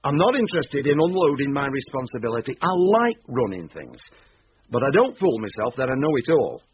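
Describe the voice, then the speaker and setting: An actor playing a shady, blunt boss who likes running things, brusque and plain-spoken, in a radio drama. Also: male voice, mid-range voice, big pitch swings, fairly quick, muffled recording, a little hiss or hum, natural voice. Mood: businesslike, commanding.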